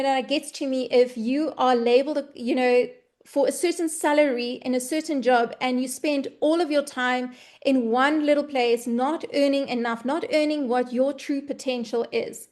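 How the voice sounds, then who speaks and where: High-voiced Afrikaans woman presenting. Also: darkish tone. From South Africa. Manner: earnest, heartfelt, with frequent ums and restarts. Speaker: presenter of an online business meeting. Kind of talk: webinar presentation.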